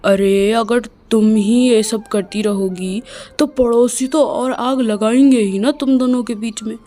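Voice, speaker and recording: A woman voicing a calm, sensible older husband who gently scolds his wife, in a storybook tale. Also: fairly high pitch, a little slow, close to an everyday voice. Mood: chiding.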